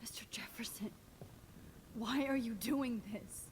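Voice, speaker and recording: An actress playing a determined young woman held captive in a video game, speaking in a hushed, urgent way. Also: a little high, unhurried, a little hiss or hum, natural voice. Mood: defiant. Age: young adult.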